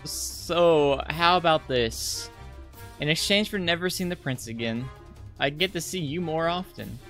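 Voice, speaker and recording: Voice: medium pitch, measured pace, a bit bright, soft background music. A visual novel player voicing a cheerful, adoring schoolgirl in an eager, gushing manner.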